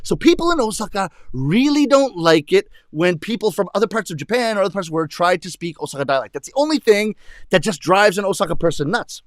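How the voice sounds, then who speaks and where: Casting note: animated comedian, male, high voice, dry close sound, bursts into put-on shouts. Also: fast, no echo. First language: English. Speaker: rakugo comedian. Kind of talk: stand-up storytelling to an audience.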